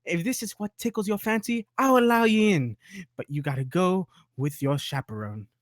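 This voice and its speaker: Man playing a high-pitched, showy carnival ticketmaster. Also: normal speed, no room sound, clean studio sound, lightly acted. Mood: patronizing. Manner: showy hawking patter. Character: carnival-barker ticketmaster, loud, patronizing old-time huckster. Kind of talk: script table read.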